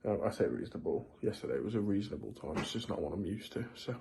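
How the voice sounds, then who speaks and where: A man's voice: on the low side, animated, quick pace, gravelly, mellow. A 21-year-old man, talking candidly and rambling in a casual vlog diary while ill with a sore throat and sniffles. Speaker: vlogger.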